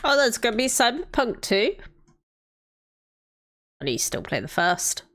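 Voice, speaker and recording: A woman's voice: fairly high pitch, very animated, measured pace, fairly bright tone. A woman in her thirties, a streamer chatting casually and thinking aloud while greeting her viewers by name. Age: 30s. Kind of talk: casual live-stream chat while playing a city-building game.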